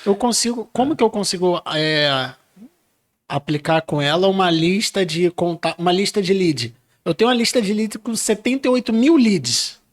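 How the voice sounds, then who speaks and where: A man hosts a relaxed podcast conversation, his voice sitting well above the usual male range. He chats in a loose, friendly way, asking questions and adding short remarks as his guest talks. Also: sits high, normal speed. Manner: chatty, short interjections. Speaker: podcast host.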